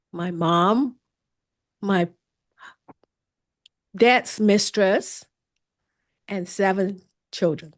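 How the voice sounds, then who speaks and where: A woman in her sixties from Singapore, a guest author telling her story earnestly, with frequent restarts and halting phrasing. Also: mid-range voice, a little mellow. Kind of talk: candid personal storytelling.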